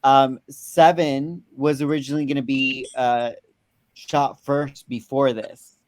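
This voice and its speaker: A man's voice: mid-range voice, steady tone, slow pace, a little mellow, no echo. A man in a casual film discussion, rambling and repeating words as he thinks aloud. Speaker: film review panelist.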